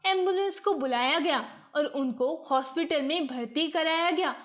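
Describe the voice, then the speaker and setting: A woman's voice: sits high, moderate pace, a bit bright. A woman narrating a scripted moral story as a storyteller.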